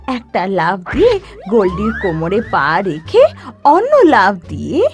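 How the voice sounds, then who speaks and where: A woman narrating an animated children's story in an expressive, dramatic storytelling manner. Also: medium pitch, a little slow.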